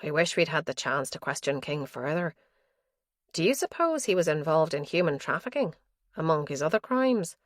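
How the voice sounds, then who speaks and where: A woman voicing a weary, dogged male detective in an audiobook, with level, measured questions. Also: sits low, sing-song, no room sound, clean studio sound. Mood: grim.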